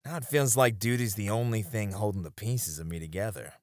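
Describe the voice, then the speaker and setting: A man's voice: lowish voice, a little fast, no room sound, studio quality, lightly acted. A man playing a seasoned knight, a wistful, teasing sparring comrade in a romantic roleplay, with reflective, hesitant phrasing. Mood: wistful, sincere. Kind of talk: romantic ASMR roleplay acting.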